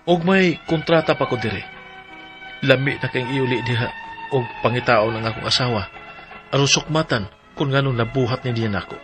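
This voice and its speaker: Man acting troubled husband in his forties, Cebuano accent, plaintive radio drama monologue, deliberate, clear background music. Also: mid-range voice, close to an everyday voice. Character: troubled 45-year-old husband and father working abroad.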